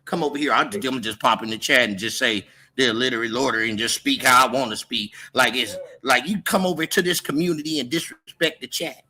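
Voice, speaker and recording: A man's voice: medium pitch, brisk, hardly any pauses, bright, forceful tone, husky, poor audio. An American man from California hosting a casual livestream, talking in a slangy, repetitive, confrontational way as he moderates the chat. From Oakland, California, US.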